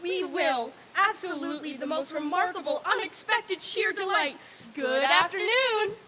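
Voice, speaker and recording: A woman playing a flustered, effusive, good-hearted gentleman in a theatrical old-time radio drama reading. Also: very high voice, measured pace, a little hiss or hum, heavily acted. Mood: astonished. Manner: gushing, exclamatory. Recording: reverberant hall stage recording.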